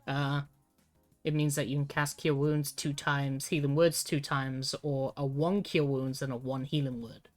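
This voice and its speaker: Low-voiced British woman thinking aloud. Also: flat delivery, a little slow, a little mellow, faint music behind. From United Kingdom. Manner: hesitant, self-mocking, works through wording aloud. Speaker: streamer. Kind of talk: thinking aloud while writing game rules.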